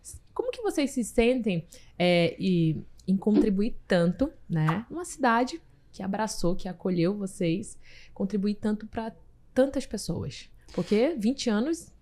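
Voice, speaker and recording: A woman's voice: medium pitch, very animated, unhurried, warm tone, slight background noise. A woman hosting an interview, a mother, speaking emphatically and often seeking agreement. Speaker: interviewer, host. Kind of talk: casual interview talk.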